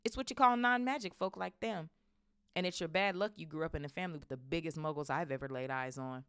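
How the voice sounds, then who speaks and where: A woman voicing a big, gruff, loyal and emotional male groundskeeper in a storybook, with a blustering, halting, folksy manner. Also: sits low, a bit bright, no room sound, studio quality. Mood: scornful.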